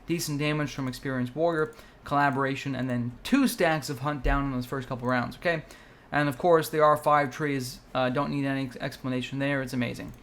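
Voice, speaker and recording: A man's voice: medium pitch, steady tone, brisk, a bit bright. A man hosting a gaming video, giving analytical commentary with announcer-like hype and addressing his viewers directly.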